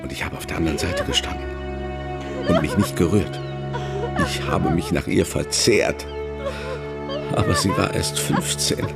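An actor playing a lovesick, remorseful older novelist in a German radio drama, confessional and pleading. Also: male voice, low voice, wildly swooping pitch, moderate pace, vocal fry, long pauses, full character voice. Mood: anguished confession. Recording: music behind the voice.

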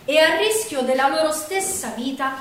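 A woman giving a theatrical, declamatory narration in short measured phrases. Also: high-pitched, animated. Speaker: stage performer. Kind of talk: theatrical storytelling on the wartime Resistance. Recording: very echoey stage, distant mic, faint noise.

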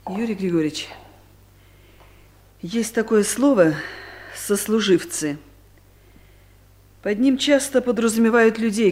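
Actress doing strict soviet lady boss, highish voice, formal n very firm, old radio play. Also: darkish tone, lightly acted. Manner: categorical, formal, measured. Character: stern, commanding, principled female director of an office.

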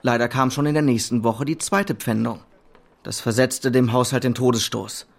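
A patient, good-natured young man in a radio play. He talks mildly and earnestly at a medium male pitch, in a chatty, devoted way. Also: fairly even intonation, moderate pace, gravelly. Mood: matter-of-fact. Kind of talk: German radio drama acting.

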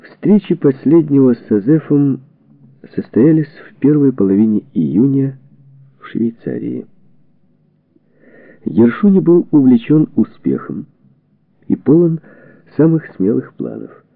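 A man reading a historical book as a scripted narration, in measured, deliberate phrases. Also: medium pitch, big pitch swings, vocal fry, no echo. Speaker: audiobook narrator. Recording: narrow phone-like band, radio-like sound.

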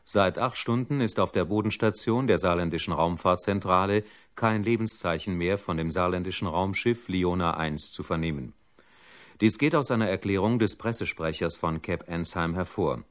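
A sober radio newsreader played by a man with a low voice. He delivers a scripted bulletin in formal, measured phrases. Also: lightly acted.

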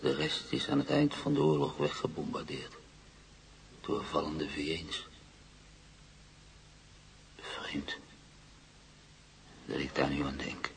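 An actor playing a troubled male patient in a radio play, recalling frightening memories in halting, brooding fragments. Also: a bass voice, sing-song, vocal fry, slight background noise, exaggerated voice, rough recording. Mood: brooding, haunted. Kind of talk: Dutch-language radio play acting.

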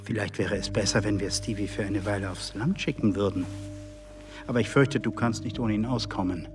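Actor as a low, distant, taciturn husband. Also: male voice, fairly even intonation, brisk, music in the background, close to an everyday voice. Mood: stolid, guarded. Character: taciturn, stolid shopkeeper husband living as secret informer. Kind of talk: radio drama acting.

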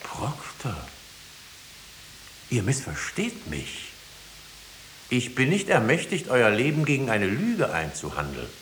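A stern, commanding judge with a low voice that swings widely as he presses his case. He is solemn and insistent, in an echoey, faintly hissy old radio play. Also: a bit bright, full character voice. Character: stern, pressing male judge extracting a confession. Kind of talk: 1950s German radio drama acting.

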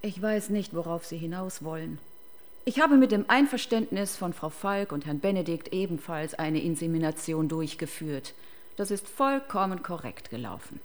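An actress playing a cold, defiant clinic doctor under interrogation in a German radio crime drama, formal and indignant. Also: female voice, on the low side, lively intonation, normal speed, lightly acted. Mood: defensive, cool. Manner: formal, clipped, indignant, evasive.

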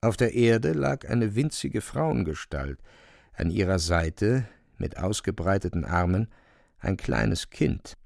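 A male narrator reads literary prose in a very dry, close sound. The voice is fairly low and gravelly. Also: expressive, slow pace, darkish tone, dry recording.

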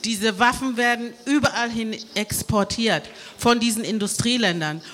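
A woman's voice: on the high side, moderate pace, fairly bright tone. A woman on a panel adding to another speaker's remarks, earnest and indignant. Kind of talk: public panel statement.

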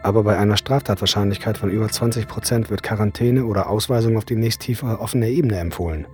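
An actor playing a stern, by-the-book police officer in a radio drama, with a clipped official manner. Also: male voice, fairly low pitch, quick pace, darkish tone, soft background music, natural voice. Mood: doubtful, subdued.

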